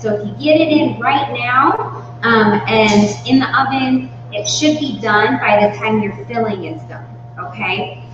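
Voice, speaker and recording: A woman in her thirties giving an informal, step-by-step cooking demonstration as the host of a live class. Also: fairly high pitch. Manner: informal, instructional, explains step by step. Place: indoor demonstration kitchen. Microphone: far from the speaker. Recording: distant mic, very echoey room, muffled, hum.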